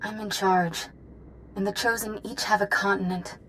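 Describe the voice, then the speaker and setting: Actress as an earnest, youthful cult leader, mid-pitched. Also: female voice, steady tone, moderate pace, a bit bright, a little hiss or hum, natural voice. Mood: wistful, earnest. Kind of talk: video game voice acting.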